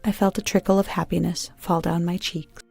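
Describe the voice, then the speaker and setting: A woman's voice: fairly low pitch, flat delivery, a little fast, vocal fry, a little mellow, breathy edge, no echo, faint music behind. A woman reading a scripted first-person story as its narrator.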